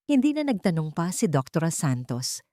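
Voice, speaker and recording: Female storyteller, Filipino accent, lowish register, dry close sound, scripted romantic drama narration. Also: sing-song, normal speed, warm tone, no room sound.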